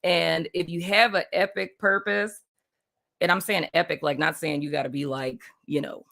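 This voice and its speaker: A woman's voice: on the low side, steady tone, normal speed, creaky, fairly bright tone. A woman from Puerto Rico hosting a casual spiritual live stream, rambling loosely with lots of "like" and "you know what I mean" and frequent laughter. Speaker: livestream host.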